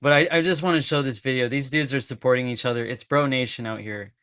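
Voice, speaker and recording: A man's voice: medium pitch, fairly even intonation, quick pace, a bit bright, clean tone, no room sound. Man streamer, rambling and nervous, close dry mic. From California. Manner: rambling, repeats clips, nervous laughs. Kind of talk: casual livestream chat.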